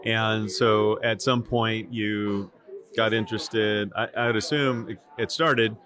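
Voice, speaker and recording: A man hosting a podcast interview at a conference, relaxed and halting, with many restarts. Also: on the low side. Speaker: podcast host. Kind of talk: casual interview. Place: conference venue. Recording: crowd chatter behind, at a conference.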